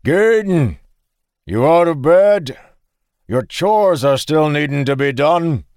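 A man voicing a stout, middle-aged male farmer who talks in a deep, brusque and condescending way. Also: lively intonation, takes it slowly, no room sound, clean studio sound. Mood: shouting. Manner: brusque, loud, fatherly.

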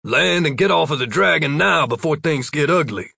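A man's voice: a little high, animated, moderate pace, vocal fry, pushed and bright, husky, no echo, studio quality, voice pushed up, heavily acted. A man voicing a talking dragon who gives a commanding warning. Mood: stern.

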